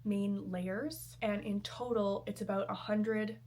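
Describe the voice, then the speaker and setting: A woman's voice: medium pitch, steady tone, takes it slowly, a little mellow. Sick, slightly nasal woman explaining nails. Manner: friendly, step-by-step explaining. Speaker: gel nail technician. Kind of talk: explanatory tutorial talk.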